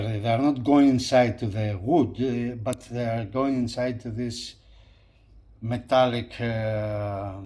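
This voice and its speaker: A man presenting a hi-fi review in a casual hands-on style, talking haltingly and repeating phrases as he points things out. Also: medium pitch, a little mellow. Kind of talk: casual hands-on video commentary.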